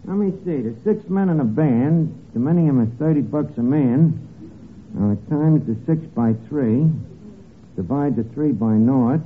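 An actor playing a scheming, penny-pinching tavern manager with a New York accent and rough, slangy diction, in a 1940s radio comedy. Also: male voice, animated, unhurried, phone-quality sound, faint music behind, lightly acted. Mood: calculating, muttering. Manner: wheedling, malapropism-prone, hesitating before each pitch. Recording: thin, noisy old radio broadcast recording.

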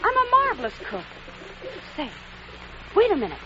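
Spunky jobless young typist, brisk and eager, high and lively, faint hiss on lo-fi vintage radio. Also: female voice, exaggerated voice. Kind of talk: 1940s radio acting. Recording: dull old radio recording, hiss.

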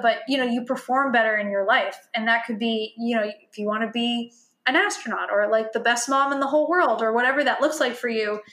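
A woman's voice: a little high, a bit bright. A woman guest on a podcast talking casually as a health coach, chatty and self-deprecating, full of fillers, whose first language is Italian. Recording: roomy echo.

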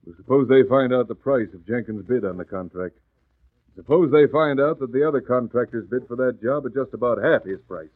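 A man's voice: mid-range voice, a little fast, dry recording, natural voice. A man playing a crooked, scheming American businessman in a 1940s radio drama, brusque and slangy, blustering yet anxious. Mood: irritated, worried. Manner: brusque, slangy, tough-talking. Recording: dry, tinny old radio recording.

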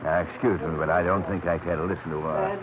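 A man's voice: low voice, fairly even intonation, fast, natural voice. An actor playing a dry, sardonic man who coolly scorns young lovers. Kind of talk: old-time radio drama acting. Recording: dull, noisy vintage radio recording.

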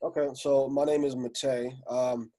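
A man in his forties who grew up in Slovakia talks through his story as a podcast guest. His voice is mellow and dark, and his delivery stays flat and even. He rambles easily, with plenty of fillers. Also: medium pitch, normal speed. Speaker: guest, music entrepreneur. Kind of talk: casual podcast interview.

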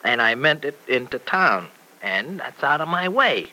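Blunt, bright-voiced young American guy. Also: mid-range voice, sing-song, unhurried, exaggerated voice. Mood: blunt, defensive. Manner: blunt, defensive, colloquial. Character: blunt, loyal young American truck-driving man. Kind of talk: old-time radio serial acting. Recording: noisy old radio broadcast recording.

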